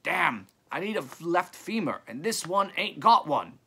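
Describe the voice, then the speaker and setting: A man's voice: on the high side, big pitch swings, measured pace, gravelly, pushed and bright, breathy edge, pitched above the performer's own voice, heavily acted. A man voicing a brusque, folksy night scavenger of body parts in a storybook. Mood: frustrated.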